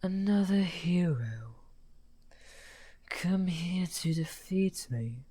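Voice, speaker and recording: A man playing a misunderstood outcast necromancer wizard, smugly amused and taunting, in an unhurried, breathy, often half-whispered ASMR roleplay. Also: high voice, animated, dark tone, exaggerated voice. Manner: unhurried, taunting, drawn-out phrasing. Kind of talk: slow theatrical ASMR roleplay.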